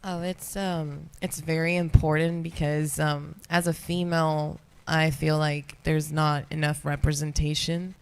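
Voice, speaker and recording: A woman's voice: low voice, level tone, a little slow, gravelly, few pauses, a little hiss or hum. A woman, a gaming streamer, answering a moderator's question on a convention panel in a casual way and laughing easily.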